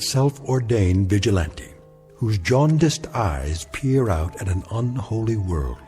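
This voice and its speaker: A man giving measured, ominous scripted narration for a radio drama. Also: on the low side, mellow. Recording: faint music score behind.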